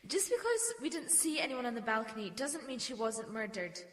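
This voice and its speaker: A woman's voice: on the high side, lively intonation, brisk, roomy sound, lightly acted. A young woman playing a composed, assured private detective in a mystery audio drama. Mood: firm, accusing. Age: young adult.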